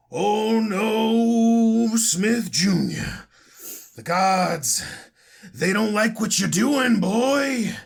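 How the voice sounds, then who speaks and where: A man voicing a grandiose, imposing male wrestler who booms his taunts at a rival. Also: gravelly, full character voice. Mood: taunting. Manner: booming, declamatory.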